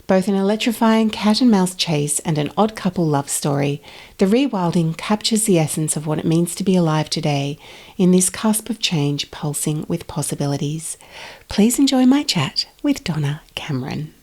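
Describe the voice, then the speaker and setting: Lower-pitched woman hosting a podcast. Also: animated, normal speed, a little mellow. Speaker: podcast host and interviewer. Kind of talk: scripted introduction and friendly interview chat.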